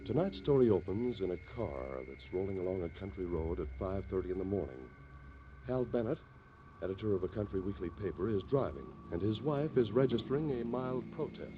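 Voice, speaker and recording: A man's voice: sits low, lively intonation, brisk, noisy background. A man narrating as the announcer of a scripted 1950s radio show. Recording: thin, narrow-band old radio recording, music behind.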